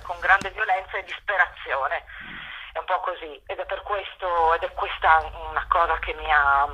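A woman's voice: on the low side, bright, forceful tone, breathy edge. A woman giving reflective, hesitant interview answers over the telephone, repeating phrases for emphasis. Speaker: theatre director, interview guest. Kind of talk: unscripted radio interview answers. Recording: dull, lo-fi telephone call to radio.

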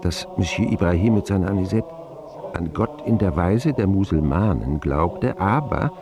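A man's voice: low-pitched, animated, normal speed, a crowd in the background. An actor playing a grown man recalling his boyhood, narrating a radio drama in the first person, wry and reflective. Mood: wry, musing.

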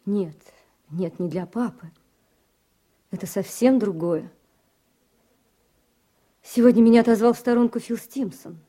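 Radio-play young woman actress: gentle, persuasive, mellow timbre with a husky edge. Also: mid-range voice, measured pace, long pauses, lightly acted. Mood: tentative, coaxing. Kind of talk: Soviet-era radio drama acting.

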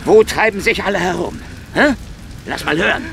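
A man's voice: high-pitched, normal speed, gravelly, noisy background. A man playing a hardboiled, cocky Soviet secret police investigator in a radio crime drama, with a sardonic, menacing, taunting manner. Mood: threatening. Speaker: lead actor and first-person narrator.

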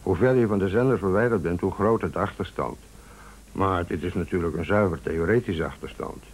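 Een acteur in een Nederlands hoorspel speelt een nuchtere radiomonteur die beleefd, precies en stellig uitleg geeft. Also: male voice, sits low, a little fast, breathy edge, low-quality recording. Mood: matter-of-fact. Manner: polite, precise, certain. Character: matter-of-fact radio repairman, confidently explaining. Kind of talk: Dutch radio play acting.

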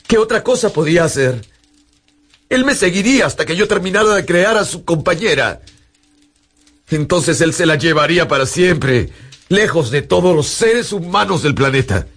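An actor playing an anguished young scientist in a radio drama, halting, dramatic and emotional. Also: male voice, on the high side, expressive, a bit bright, husky, heavily acted. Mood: grave confession. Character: anguished young male scientist, dutiful, haunted by guilt. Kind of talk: radio drama acting and narration.

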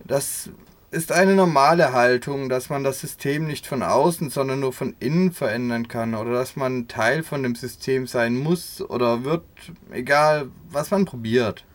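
Mid-pitched man on radio. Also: measured pace. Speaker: radio presenter reading translated answers. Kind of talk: radio feature voice-over.

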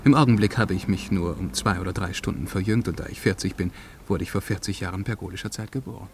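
An actor playing a forty-year-old time traveller from the far future in a German radio play, speaking in stately, ceremonious declamation. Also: male voice, low voice, quick pace, slight background noise. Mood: patient, stately.

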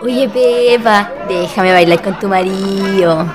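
A woman's voice: mid-range voice, slow, lightly acted. A woman playing a flirty, touchy, gossipy friend at a fair, with a Chilean accent, in a radio play. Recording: loud music and crowd behind. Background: festive music and crowd.

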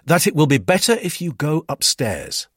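A man voicing a formal, firm gentleman who speaks in measured, severe phrases in an audiobook. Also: fairly high pitch, sing-song, husky, dry recording, studio quality, pitched above the performer's own voice, exaggerated voice. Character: formal, severe first-person hero, smooth-talking peacemaker.

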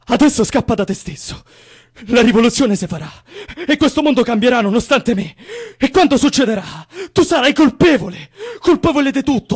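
An actor with a Roman accent playing an urgent, righteous young activist in a stage drama. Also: male voice, very high voice, full character voice. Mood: bitter accusation. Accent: Roman Italian. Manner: urgent, intense. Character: impassioned male young activist, righteous and accusing.

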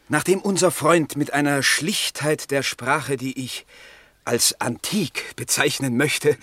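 An actor in a German radio play as a smooth-tongued, ceremonious party spokesman and factory owner, speaking in formal oratory. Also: medium pitch, a bit bright, no echo. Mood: satisfied, formal.

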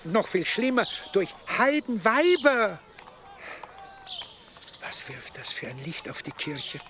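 Slow, high-voiced haughty churchman. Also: sing-song, background noise, heavily acted. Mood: appalled, concerned. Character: haughty high-ranking medieval churchman, perturbed. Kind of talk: German radio drama acting. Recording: clear outdoor nature sounds behind.

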